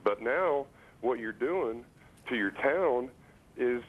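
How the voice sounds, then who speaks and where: A man phoning a call-in show, speaking casually and haltingly, repeating words as he insistently makes his point. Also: medium pitch, animated, slightly rough. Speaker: radio and TV phone-in caller. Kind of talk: casual opinion and anecdote on a call-in. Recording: voice over phone line to broadcast.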